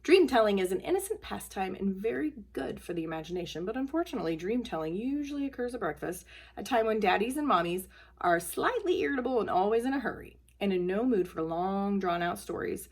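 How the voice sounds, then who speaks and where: A woman storyteller reading a children's book aloud in an expressive, emphatic way. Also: medium pitch, brisk, husky.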